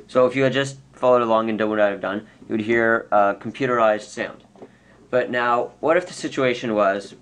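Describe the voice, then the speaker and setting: A man giving an unscripted, casual software tutorial, explaining step by step and correcting himself as he goes. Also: lowish voice. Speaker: tutorial presenter. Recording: clear background noise.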